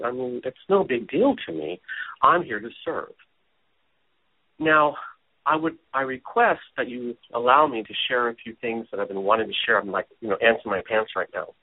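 Animated man on a phone-like line. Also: medium pitch, moderate pace. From Hawaii. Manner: animated, chatty, self-deprecating. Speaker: business strategist guest. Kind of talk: casual talk show interview. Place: at home. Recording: phone-like audio.

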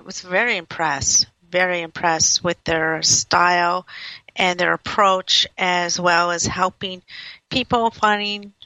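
Female radio host, bright and forceful, dry lo-fi sound, effusive. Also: fairly low pitch, no room sound. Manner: effusive, rambling praise. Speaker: radio talk show host. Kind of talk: casual radio interview. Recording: dull, lo-fi radio audio.

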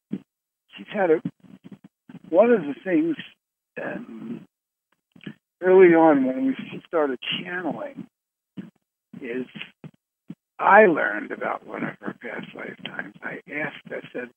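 A man hosting a conference call in a casual, rambling way, pausing often mid-sentence, heard over the telephone. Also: a little high, lively intonation, vocal fry. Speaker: host of a conference call show. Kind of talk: casual, rambling talk to callers. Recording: narrow phone line with background noise.

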